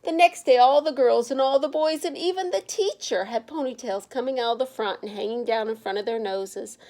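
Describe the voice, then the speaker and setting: Female children's storybook reader, somewhat high voice, expressive and emphatic narration. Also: brisk.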